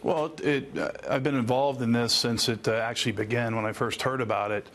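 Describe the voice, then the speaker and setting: A man's voice: mid-range voice, fairly even intonation, a little fast, a bit bright, a little hiss or hum. A man speaking as an expert guest in a news interview, explaining in earnest detail with formal, legal phrasing. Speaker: attorney and former air traffic controller, interview guest.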